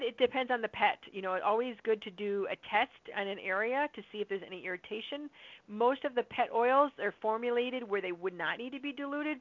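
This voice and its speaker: A slightly hoarse woman hosting a call, chatty and upbeat, answering listener questions with lots of fillers. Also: fairly high pitch, dry recording. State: slightly hoarse from long talking. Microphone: phone or conference line. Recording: dry tinny phone-line audio.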